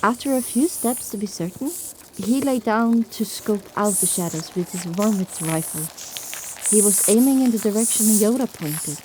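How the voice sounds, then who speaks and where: A woman's voice: mid-range voice, expressive, normal speed, darkish tone, a little hiss or hum, poor audio. A woman narrating an audio drama in the first person with a dramatic, scripted delivery.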